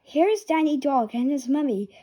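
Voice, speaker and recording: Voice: high-pitched, lively intonation, moderate pace, mellow. A person reading a children's storybook aloud, word by word. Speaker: reader of a children's picture book.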